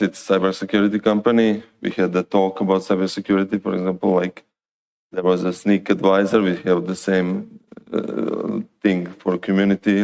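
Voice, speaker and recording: Smooth-voiced Croatian man with creaky edges. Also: on the low side, a little mellow. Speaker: conference lightning talk speaker. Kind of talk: informal conference presentation. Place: conference stage, indoors.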